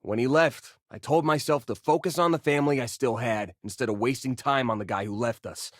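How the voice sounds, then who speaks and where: A man's voice: medium pitch, a little fast, no room sound, clean studio sound, close to an everyday voice. An actor playing a friendly, wisecracking male space miner and loyal crewmate in a sci-fi video game, with a casual, folksy, joking manner. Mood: casual, reflective.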